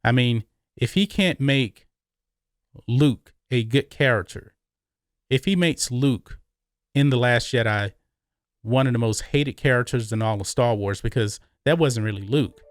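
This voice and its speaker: A man's voice: medium pitch, measured pace, no echo, studio quality. An American man hosting an opinionated film commentary, speaking emphatically and repeating phrases for stress. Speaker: YouTube movie commentary host.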